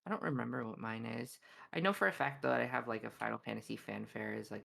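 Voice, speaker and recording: A woman's voice: rumbling low, fast talker, vocal fry, a bit bright. A Canadian-born woman whose first language is Polish, chatting as a stream guest over a voice call, hesitant with many restarts. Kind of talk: casual chat.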